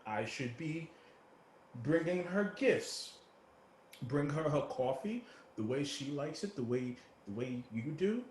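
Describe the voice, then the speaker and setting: A man's voice: on the high side, expressive, takes it slowly, a little mellow, echoey room, slight background noise. An actor playing an anxious, childlike young homeless man who stammers and repeats himself, in a stage play reading. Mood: sheepish, halting.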